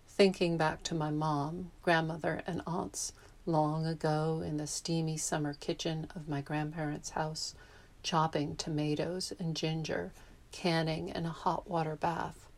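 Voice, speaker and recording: Woman in her fifties, fairly low voice, reflective essay reading, measured delivery, born in Japan.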